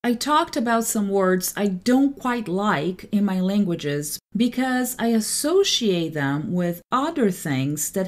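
Woman, native Portuguese speaker, animated intonation, carefully enunciated, solo podcast monologue, mid-range pitch. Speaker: podcast host; translator.

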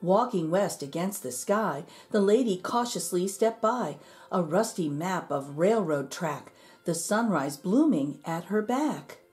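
A woman reading a rhyming children's picture book aloud in an expressive, measured storytelling manner. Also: on the low side. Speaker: storybook reader.

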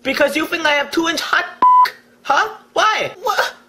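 A man's voice: extremely high pitch, measured pace, a little hiss or hum, exaggerated voice. A man doing a furious ranter. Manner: shouting, profane, broken English. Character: hot-tempered foul-mouthed Chinese male video maker. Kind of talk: comic rant to camera.

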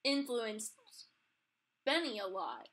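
A woman's voice: a little high, sing-song, slow, a bit bright, low-quality recording. A young woman hosting a casual podcast interview, asking questions in an informal, hesitant way. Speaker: podcast host.

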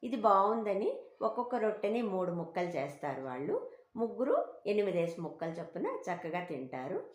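Female storyteller. Explains a moral tale to children conversationally, average pitch, recorded in an echoing room. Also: huge pitch swings, fairly quick. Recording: roomy, echoing home recording.

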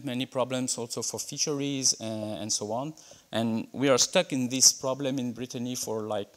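A man's voice: medium pitch, a bit bright. A middle-aged man from France with a French accent, giving a conference keynote at a podium in a lecture hall, speaking hesitantly with many fillers and restarts. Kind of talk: conference talk with slides. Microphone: podium microphone.

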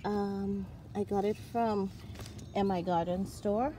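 A woman giving a casual walkthrough of her backyard garden, chatty and excitable and thinking aloud, recorded outdoors on a handheld phone. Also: mid-range voice, slow. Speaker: gardening vlogger. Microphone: handheld phone camera. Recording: outdoor ambience with noise.

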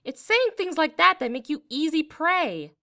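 A woman's voice: high-pitched, big pitch swings, moderate pace, creaky, sharp tone, heavily acted. A woman playing a tough, bossy teenage schoolgirl in an anime fan dub, scolding and assertive. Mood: exasperated. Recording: faint background music under dialogue.

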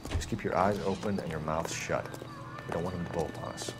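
An actor playing a stern, commanding police detective with an American accent and a clipped, authoritative manner. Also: male voice, sits low, steady tone, brisk, heavy background noise, natural voice. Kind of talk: dramatic game dialogue.

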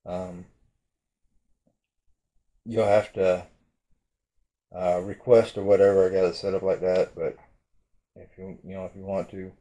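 Middle-aged male vlogger, deep and warm, hesitant and chatty, close camera mic in a bedroom. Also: little pitch movement, measured pace, long pauses. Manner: hesitant, many fillers and restarts. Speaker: urban exploration vlogger. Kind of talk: casual rambling vlog talk. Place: bedroom at home, indoors. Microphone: webcam or phone at arm's length.

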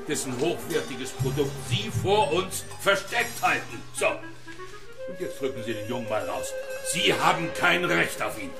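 Pompous villainous director played by a man, animated. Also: medium pitch. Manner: formal, officious. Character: pompous, bossy male factory director, grey-haired villain. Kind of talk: children's radio play acting. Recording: echoey room, music behind.

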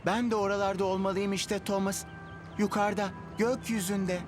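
An eager, naive cartoon railcar acted by a man speaks in a very high voice. He sounds earnest and wistful, with loud music underneath. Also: little pitch movement, normal speed, natural voice. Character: eager, naive male propeller railcar longing to fly.

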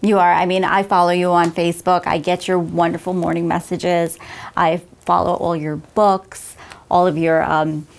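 A woman's voice: lowish voice, little pitch movement, moderate pace, creaky. A woman in her thirties from Guyana, hosting a talk show interview with questions and thanks. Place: office, seated at a desk.

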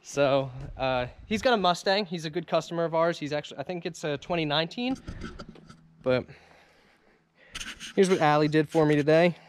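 A man's voice: fairly high pitch, normal speed, pauses often. Man, shop owner, casual vlog, rambling with fillers, handheld camera mic, faint background noise. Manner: loose and chatty, many fillers and restarts. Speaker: auto detailing and wrap shop owner. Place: car shop and lot, in and out of doors.

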